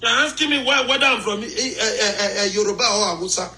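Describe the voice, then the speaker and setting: Nigerian male caller, high and bright, boastful, Pidgin-joking. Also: a little fast. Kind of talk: live call-in chat.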